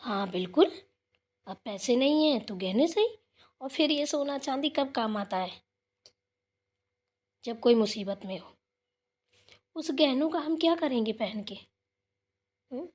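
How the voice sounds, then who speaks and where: High-voiced, kindly village sister-in-law played by a woman. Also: expressive, measured pace, long pauses, a little mellow, husky, exaggerated voice. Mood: earnest, sympathetic. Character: kind, generous elder sister-in-law of a village family. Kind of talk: Hindi family moral cartoon dialogue.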